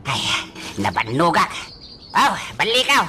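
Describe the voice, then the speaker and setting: A man's voice: fairly high pitch, wildly swooping pitch, measured pace, pushed and bright, full character voice. A man playing a weary, complaining elderly father in an Ilocano radio drama, grumbling with plaintive exclamations. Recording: music behind the voice.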